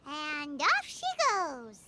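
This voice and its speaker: A woman voicing a small, cheerful, chatty fairy companion with a squeaky, childlike voice in an animated video game. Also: extremely slow, very noisy, exaggerated voice. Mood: confident, chipper.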